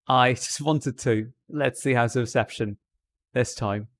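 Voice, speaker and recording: A man's voice: medium pitch, measured pace. A man chatting casually to viewers on a livestream in a put-on British Received Pronunciation accent, talking to them directly and often repeating himself. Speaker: live streamer.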